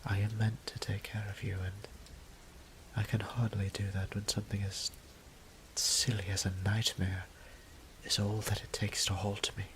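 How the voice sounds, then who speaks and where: A man playing a gentle, apologetic old vampire priest in an intimate audio drama, speaking in formal, hesitant phrases with a breathy half-whisper. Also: on the low side, completely flat delivery, moderate pace, slight background noise, lightly acted, poor audio. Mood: apologetic, flustered. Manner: hesitant, formal old-fashioned wording, tender.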